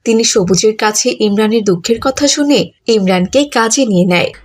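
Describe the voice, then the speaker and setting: A woman's voice: mid-range voice, fairly quick. A woman reading scripted narration for a cartoon story.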